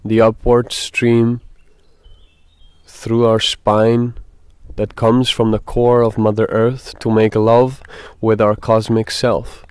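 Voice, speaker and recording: A man leading a guided meditation, speaking in a deliberate, halting way with pauses. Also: lowish voice, level tone, darkish tone, clean tone, no room sound.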